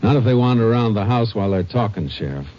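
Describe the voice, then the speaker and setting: A man in a 1950s radio drama who narrates and plays a steady, terse lawman, a ranger giving orders. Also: lowish voice, fast, a little hiss or hum, close to an everyday voice. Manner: terse, level and commanding. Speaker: narrator and lead. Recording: dull lo-fi old radio broadcast.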